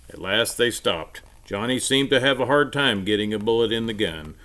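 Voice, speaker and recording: A man reading a children's storybook aloud in an expressive, emphatic storytelling manner. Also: on the low side, moderate pace, slight background noise.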